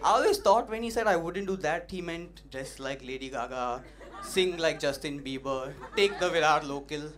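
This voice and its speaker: A young man doing an open-mic stand-up set, punchy and animated, teasing the audience, on a stage mic. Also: on the high side, moderate pace. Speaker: stand-up comedian. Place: comedy club stage. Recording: noisy live club recording.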